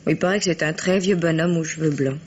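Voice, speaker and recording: An actress playing a gentle, candid young daughter in a French radio drama, soft, thoughtful and informal, with a slightly husky voice. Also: female voice, low-pitched, steady tone, fast. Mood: affectionate. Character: gentle, candid young daughter, affectionate toward her father. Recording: dull old radio recording.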